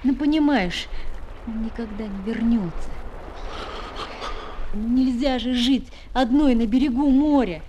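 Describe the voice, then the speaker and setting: A woman's voice: a little high, unhurried, pauses often, lightly acted. A woman playing a kind schoolboy with a tender, coaxing, boyish manner in a Soviet children's radio play. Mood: tender pleading. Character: kind schoolboy who looks after lonely dogs. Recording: noisy 1970s flexi-disc recording.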